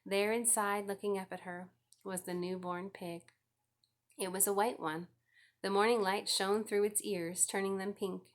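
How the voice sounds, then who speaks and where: A woman reads a children's story aloud in a mid-pitched voice. Her delivery is scripted storybook narration. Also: steady tone, moderate pace. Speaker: audiobook reader.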